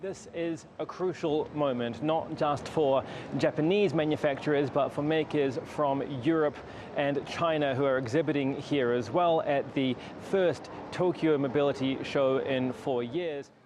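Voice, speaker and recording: A man reporting as a television news correspondent, in measured broadcast delivery for pieces to camera and packaged stories. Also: fairly high pitch, background noise.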